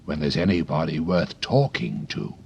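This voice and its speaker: A man reading a storybook and voicing a proud, bossy female tiger lily flower in an imperious, slow, commanding manner. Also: very deep voice, expressive, gravelly, darkish tone, slightly rough, highs cut off, heavily acted. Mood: haughty.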